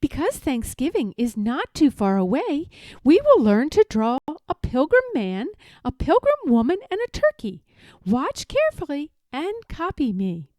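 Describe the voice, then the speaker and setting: A woman's voice: wildly swooping pitch, unhurried, darkish tone, no room sound, exaggerated voice. A woman reading a storybook, voicing a kindly woman art teacher in a sing-song, coaxing manner. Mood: bright, instructive. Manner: lilting, high, coaxing. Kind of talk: children's storybook read-aloud.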